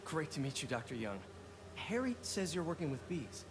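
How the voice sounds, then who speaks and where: A bright young man with a boyish, eager energy. His pitch leaps about lively as he talks. A video game cutscene. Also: moderate pace, noisy background. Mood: polite. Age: young adult.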